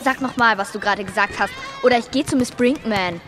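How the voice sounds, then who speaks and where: A woman's voice: a little high, fast, music in the background, close to an everyday voice. A female performer playing a cheeky schoolgirl who taunts and provokes in a bratty way, in a radio play. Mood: indignant.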